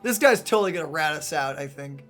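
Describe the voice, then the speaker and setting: A woman gives casual gaming commentary as co-host. Her voice is bright and animated, expressive and teasing. Faint game music plays behind her. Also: sits low, fast. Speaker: let's play co-host.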